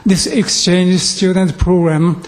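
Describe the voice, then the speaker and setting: A man from Japan with a Japanese accent, giving a halting, formal and earnest speech in non-native English with frequent pauses. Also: sits high, flat delivery. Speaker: visiting student delegation leader. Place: council meeting room, public address.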